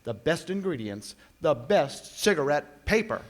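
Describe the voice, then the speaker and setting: An actor playing an emphatic, showy sponsor's announcer in old-time radio style. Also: male voice, on the high side, sing-song, a little slow. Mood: salesmanlike. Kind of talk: 1950s radio commercial announcing.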